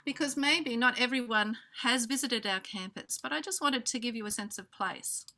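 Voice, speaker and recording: An Australian woman hosting an online conference over a video call, giving introductions with frequent pauses and fillers. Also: fairly high pitch. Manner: warm hesitant introductions with many fillers. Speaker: conference host. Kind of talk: welcoming remarks on a video call.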